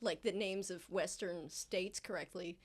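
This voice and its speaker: A woman's voice: fairly low pitch, steady tone, a little slow, breathy edge. A young adult woman who co-hosts a podcast and runs a tabletop game. She chats casually and recaps the last session in a rambling way, with lots of fillers and joking asides. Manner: chatty, rambling, many fillers and self-mocking asides.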